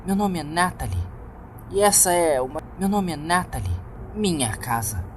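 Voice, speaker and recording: A Brazilian man voicing an earnest, troubled young woman who practises witchcraft, explaining herself haltingly in a horror story. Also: fairly high pitch, sing-song, slight background noise, pitched above the performer's own voice. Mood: earnest, pleading. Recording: music bed behind the voice.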